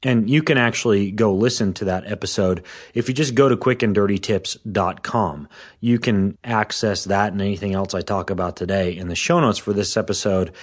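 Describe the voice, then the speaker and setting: A man hosting a fitness podcast, a little hoarse, explaining things in an informal scripted way. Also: lowish voice, moderate pace. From Washington State.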